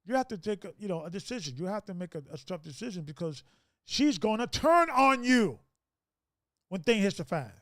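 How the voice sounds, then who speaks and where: A man's voice: sits high, big pitch swings, a little fast, fairly bright tone, dry recording, clean studio sound. A man in his fifties hosting a casual group discussion, preachy and rambling, with many restarts. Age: 50s.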